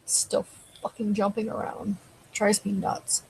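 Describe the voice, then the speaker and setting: A woman's voice: medium pitch, completely flat delivery, measured pace, gravelly, darkish tone, a little hiss or hum, poor audio. A woman hosting a casual live stream, chatting loosely and answering viewers, her throat getting dry. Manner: rambling, conversational, answers chat comments. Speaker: live show host.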